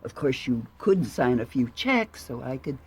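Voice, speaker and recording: An actress playing a brisk, caring, nagging secretary in a radio drama, with a husky voice. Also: female voice, very deep voice, sing-song, a little mellow, exaggerated voice. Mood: businesslike. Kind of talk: radio drama acting, conversational.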